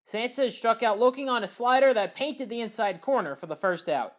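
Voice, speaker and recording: A woman giving a scripted play-by-play recap of baseball highlights in a measured, factual way. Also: expressive. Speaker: highlights commentator.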